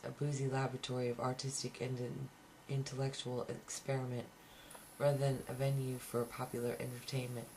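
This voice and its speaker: A man's voice: medium pitch, vocal fry, rough recording. A man reading a novel aloud as an amateur, now and then tripping on a word and restarting, on a home recording. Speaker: amateur audiobook reader. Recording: home recording with faint background noise.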